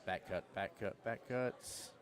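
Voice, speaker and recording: A man commentating a live pool stream, quick and upbeat, talking to the chat. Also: fairly low pitch. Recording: loud crowd around.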